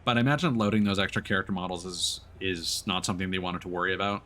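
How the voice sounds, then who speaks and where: A man's voice: sits low, quick pace, pushed and bright. A man giving casual livestream gaming commentary, chatting as a streamer and swearing casually. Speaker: game streamer. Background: game music and racing car sounds.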